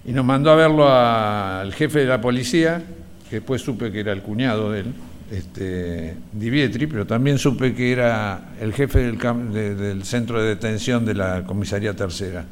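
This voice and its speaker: An Argentine man recounting his memories in an interview, in halting phrases. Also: fairly low pitch, a little hiss or hum. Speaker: interviewee giving testimony.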